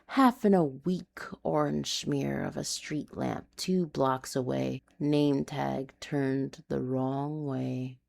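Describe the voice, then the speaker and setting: A woman reading a noir urban fantasy story in a hushed, dramatic, deliberate way. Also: low voice, creaky. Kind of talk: scripted noir confessional storytelling.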